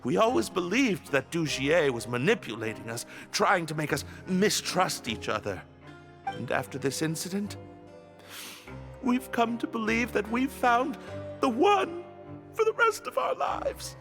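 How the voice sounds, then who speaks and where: A man's voice: high voice, big pitch swings, a little slow, vocal fry, music in the background, exaggerated voice. A man playing an earnest, polite young male prisoner and groom-to-be, heartfelt, in video game dialogue.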